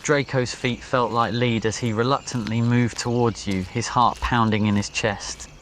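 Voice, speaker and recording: A man's voice: mid-range voice, fairly even intonation, moderate pace, a bit bright, slight background noise. A man reading a scripted ASMR story as its narrator. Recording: nature ambience behind voice. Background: crickets, birds and insects.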